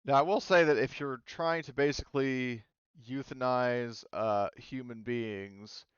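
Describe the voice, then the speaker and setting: Smooth-voiced young man running a game. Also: mid-range voice, steady tone, unhurried, no echo, lo-fi sound. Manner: rambling, hedging with many fillers. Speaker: game master. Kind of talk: running a tabletop game online.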